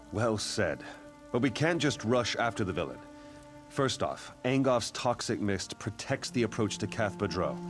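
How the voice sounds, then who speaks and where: Stern military commander, fantasy game. Fairly low voice, grave and blunt, clear musical backing. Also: male voice. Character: stern male military commander fighting a necromancer. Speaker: game character. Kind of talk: scripted fantasy game dialogue.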